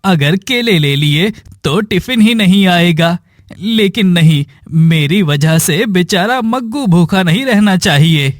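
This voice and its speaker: A man's voice: high voice, expressive, unhurried, dry recording, studio quality. A man voicing a poor, humble and devout village labourer in a cartoon, meek and earnest. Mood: meek, resigned.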